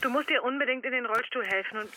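A man's voice: extremely high pitch, fast talker, music in the background, low-quality recording. A man acting a worried caller with bad family news in a radio drama, urgent and apologetic.

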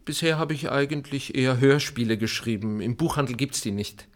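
An actor playing a laconic, reserved writer, an outsider in a small town, in naturalistic drama dialogue. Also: male voice, medium pitch, brisk, no echo, close to an everyday voice. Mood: polite, reserved. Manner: laconic, wry, short hesitant sentences.

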